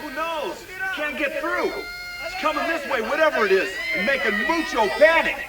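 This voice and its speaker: An actor playing a jokey, breezy technician in a radio drama, with a theatrical delivery. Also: male voice, very high voice, lively intonation, normal speed, a loud crowd. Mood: tense. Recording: distant voice under loud radio-drama music.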